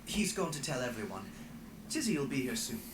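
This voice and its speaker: A man's voice: mid-range voice, sing-song, brisk, echoey room, noisy background, close to an everyday voice. A man playing an upbeat young translator and ambassador in a fantasy video game.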